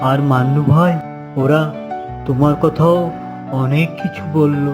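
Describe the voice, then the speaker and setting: A man as an earnest, slightly high-voiced cartoon boy. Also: fairly even intonation, a little slow, close to an everyday voice. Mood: reassuring. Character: earnest, obedient village boy, eager to please. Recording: music behind the voice.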